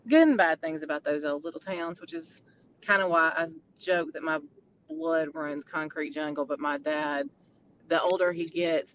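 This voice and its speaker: A middle-aged woman with a Tennessee accent, chatting in an animated, storytelling way as a guest. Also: sits low, slight background noise, poor audio. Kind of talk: casual chat.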